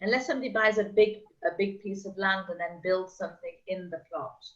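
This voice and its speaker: A middle-aged woman working as a real estate agent, chatting casually and explaining things with quick examples over a call. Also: medium pitch. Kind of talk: casual video call chat. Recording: slightly dull lo-fi call audio.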